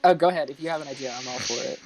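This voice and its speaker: Man, slightly high voice, tabletop game player, agreeable casual chat, clear background chatter. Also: racing.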